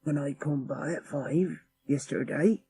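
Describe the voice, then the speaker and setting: A man's voice: on the high side, measured pace, mellow, breathy edge. A man voicing a stubborn, puzzled gardener with rustic grammar in an audiobook story. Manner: rustic non-standard grammar, dogged.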